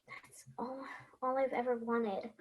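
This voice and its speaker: High-voiced earnest little girl played by a woman. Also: flat delivery, unhurried. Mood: hesitant, moved. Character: Sam, earnest, hopeful little girl fairy-tale hero. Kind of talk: stage play acting and storybook narration. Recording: dull, muffled lo-fi sound.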